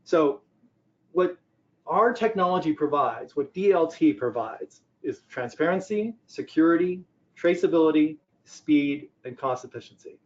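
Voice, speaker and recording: A man's voice: fairly high pitch, a little mellow. An older man giving a conversational presentation on business technology, explaining things by posing questions and answering them. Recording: dull, lo-fi audio.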